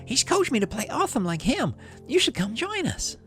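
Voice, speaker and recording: An enthusiastic young girl in a game, performed by a man. The voice is raised very high with lively swings, gushing and eager, with music behind it. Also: normal speed, heavily acted. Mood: excited, admiring. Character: enthusiastic young girl proud of her brother.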